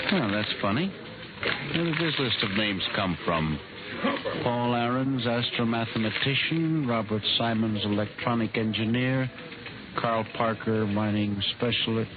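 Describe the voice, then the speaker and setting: A man's voice: lowish voice, measured pace, narrow, tinny audio, close to an everyday voice. Man playing a fussy, timid clerk on noisy old radio. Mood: puzzled. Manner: hesitant, stammering, deferential. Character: earnest, timid, fussy male records clerk with theories. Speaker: actor. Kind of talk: 1950s radio drama acting.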